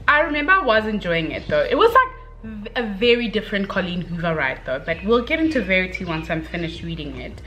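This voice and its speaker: A young woman giving a casual, chatty book review, speaking in an expressive, gushing, slangy way with dramatic emphasis. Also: medium pitch, slight background noise, rough recording.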